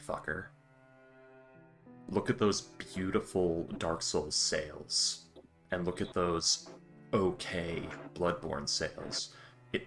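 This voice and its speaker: A man with a very low, deep voice rants with plenty of swearing about games during a streamed tabletop session. Faint music plays softly in the background. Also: gravelly. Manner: profane, ranting, opinionated. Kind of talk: casual chat at a tabletop game stream. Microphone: streaming mic.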